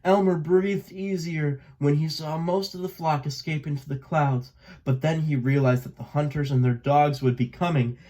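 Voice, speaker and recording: A man narrating a children's storybook aloud in an expressive read-aloud style. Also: fairly high pitch, moderate pace. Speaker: storybook reader.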